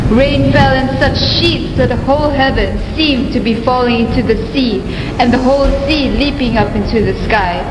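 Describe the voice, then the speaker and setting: A woman narrating a scripted radio play as a storyteller, in a formal, literary, dramatic manner. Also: on the high side. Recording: echoey hall reverb, clear music behind.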